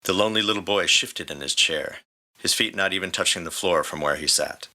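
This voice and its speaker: A man's voice: low voice, a little fast, pushed and bright. A man reading scripted story narration as the narrator. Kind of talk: scripted fan fiction narration.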